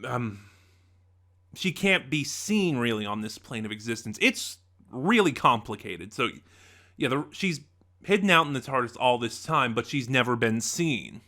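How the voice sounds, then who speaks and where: Man, bright tense voice, chatty review host, unscripted, animated asides. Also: mid-range voice, measured pace. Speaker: podcast reviewer.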